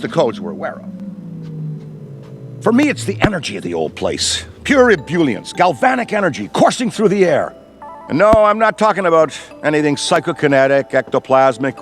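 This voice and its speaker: A rambling veteran ghostbuster. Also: male voice, medium pitch. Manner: rambling, wordy, professorial. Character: Ray, enthusiastic veteran ghostbuster, middle-aged man. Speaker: veteran mentor. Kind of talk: video game cutscene voice acting.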